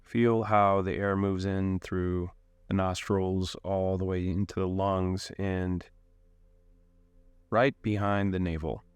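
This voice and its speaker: A man leading a guided meditation in a calm, unhurried way, giving gentle instructions with long pauses. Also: sits low, clear, resonant tone, no echo.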